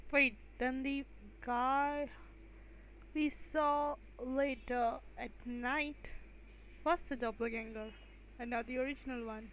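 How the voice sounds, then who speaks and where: A woman's voice: high-pitched, steady tone, takes it slowly, a bit bright, a little hiss or hum, rough recording. A woman livestreaming a game with casual commentary, musing aloud as she plays. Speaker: livestream gamer.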